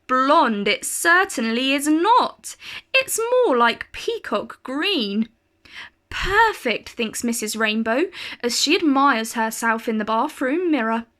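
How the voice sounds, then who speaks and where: A woman reading a children's picture book aloud in an expressive, carefully phrased storytelling style. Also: on the high side.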